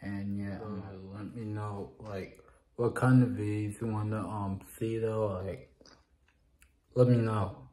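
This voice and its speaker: A man's voice: on the low side, little pitch movement, slow, dark tone. A young man talking casually to his phone camera in a small living room, rambling and repeating the same filler phrases. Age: young adult. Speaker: vlogger. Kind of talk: casual vlog talk to camera. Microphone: phone camera at arm's length.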